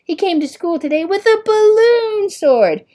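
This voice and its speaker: A woman's voice: very high voice, lively intonation, measured pace, mellow, pitched above the performer's own voice. A woman voicing an obnoxious, mocking schoolboy bully in a storybook. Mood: jeering.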